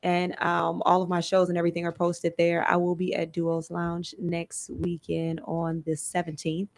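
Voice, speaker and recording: A woman's voice: on the low side, completely flat delivery, normal speed. A woman, a singer and actress, casually promoting herself as a guest.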